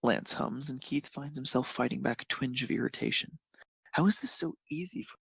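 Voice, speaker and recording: A man's voice: mid-range voice, brisk, vocal fry, fairly bright tone, husky, no echo. A man narrating a scripted piece of fan fiction as a storyteller.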